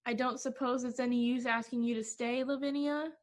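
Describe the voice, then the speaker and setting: Woman acting a high-voiced, worried friend. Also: steady tone, brisk, natural voice. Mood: reproachful worry. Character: worried, gloomy woman friend urging caution. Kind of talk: 1940s radio play acting.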